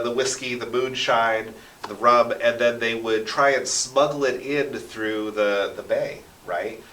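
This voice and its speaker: A man's voice: mid-range voice, bright, forceful tone, slight background noise. An American man telling stories conversationally as an interview guest, with dramatic flourishes. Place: echoey radio studio. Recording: roomy echo, distant from microphone.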